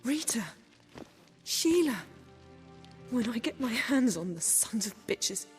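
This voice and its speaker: A woman's voice: on the high side, expressive, slow pace, soft background music. A woman playing a fierce, distraught sorceress in a video game, urgent and emotional. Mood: anguished, angry. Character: fierce, distraught sorceress caring for a dying friend.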